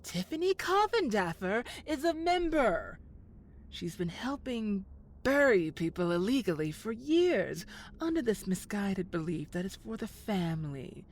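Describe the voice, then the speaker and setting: A woman's voice: medium pitch, big pitch swings, unhurried, a little hiss or hum. An actress playing a sly villainous woman in an audio drama, condescending with feigned sweetness. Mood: insinuating. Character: sly, scheming villainous old flame, woman. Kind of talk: scripted audio drama acting.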